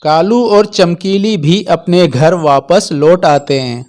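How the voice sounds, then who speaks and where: A man's voice: fairly high pitch, takes it slowly, darkish tone. A man reading scripted narration for a children's animal story.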